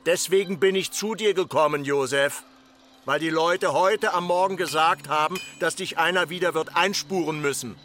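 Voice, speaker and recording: An actor playing an officious, insistent village mayor in a radio drama, admonishing in a formal, repetitive way. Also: male voice, on the high side, normal speed, a bit bright, slight background noise, natural voice. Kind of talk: literary radio drama acting.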